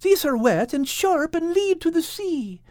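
Man doing a high, timid storybook animal fellow. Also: very animated, measured pace, a little mellow, dry recording, clean studio sound, exaggerated voice. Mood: nervous, reluctant. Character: timid, stubborn, reluctant male animal adventurer.

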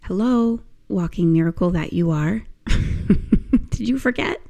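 A woman hosting her own podcast with a croaky, hoarse voice, talking in an encouraging, gently instructive way about a movement lesson. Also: on the low side, lively intonation, measured pace, highs cut off. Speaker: podcast host and movement teacher. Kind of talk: casual podcast monologue.

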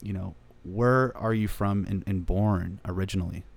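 A man hosting an interview in a cigar lounge, enthusiastic and quick to praise. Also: deep voice, gravelly, no echo. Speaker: podcast host.

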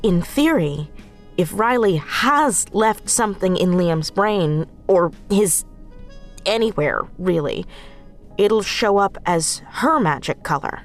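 A woman's voice: medium pitch, animated, takes it slowly, soft background music. A woman playing a practical, thoughtful tech expert in a naturalistic audio drama.

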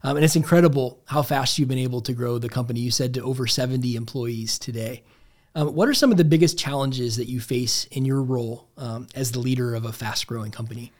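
Female interview host with a very deep voice for a woman, conversational questioning. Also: fast talker, a little mellow.

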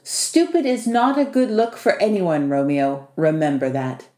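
A woman's voice: lowish voice, measured pace, dark tone, natural voice. Woman playing a bossy, wry little male house spirit. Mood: teasing, warning. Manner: wry and teasing, with foreign-sounding turns of phrase. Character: short, bossy, dryly witty male domovoi house spirit. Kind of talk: audiobook character dialogue. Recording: echoey room.

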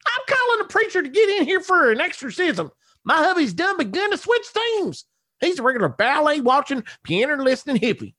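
A man voicing a shrill, indignant Southern country wife full of folksy exclamations, in a comedy story. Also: wildly swooping pitch, fairly quick, sharp tone, no echo, clean studio sound, heavily acted. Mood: outraged.

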